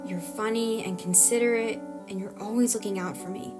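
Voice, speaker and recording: An awkward young camera operator, voiced by a woman in an audio drama, talks over clear music. The delivery is hesitant and rambling, full of anxious self-doubt. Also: mid-range voice, fairly even intonation, moderate pace, close to an everyday voice. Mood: earnest, anxious.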